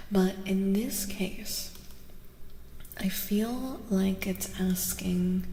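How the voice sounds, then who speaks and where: A woman giving a soft-spoken ASMR tarot reading as a streamer, often slipping into whispers, soothing and reassuring as she slowly repeats phrases. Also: medium pitch, gravelly, slight background noise. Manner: soothing, reassuring, slow repetitions. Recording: reverberant echo with music behind. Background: music.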